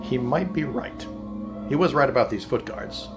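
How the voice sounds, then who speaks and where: An actor playing a bewildered, wisecracking everyman with a casual, excitable manner in a fantasy audio comedy. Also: medium pitch, moderate pace, over music, natural voice. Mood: puzzled.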